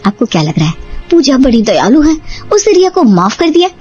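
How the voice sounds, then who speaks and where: A woman's voice: high-pitched, very animated, a little fast, darkish tone, slightly rough, lo-fi sound. A woman narrating a dramatized family cartoon story as the storyteller. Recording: music behind the voice. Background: background music.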